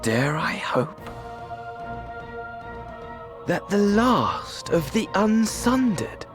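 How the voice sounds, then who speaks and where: A man's voice: big pitch swings, very slow, full character voice. An actor playing a theatrical, mad male game villain with grandiloquent, melodramatic and mocking delivery. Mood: sly, musing. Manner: grandiloquent, melodramatic, swooping from shrill glee to hushed menace. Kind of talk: theatrical video game voice acting. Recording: music behind the voice.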